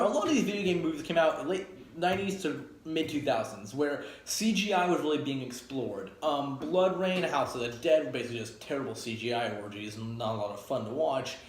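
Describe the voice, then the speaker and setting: A man giving a casual, opinionated spoken review, rambling and emphatic, often correcting himself as he goes. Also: fairly high pitch. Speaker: video game movie reviewer. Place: echoey spot up by a street. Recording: echoey, noisy, near street traffic. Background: traffic and engine noise.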